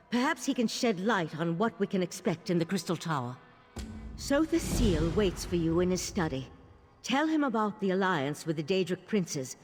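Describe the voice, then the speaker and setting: An actress playing a grave, weary mage leader in a fantasy video game, speaking formally and deliberately with wry skepticism. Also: female voice, lowish voice, slightly rough. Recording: long hall-like reverb.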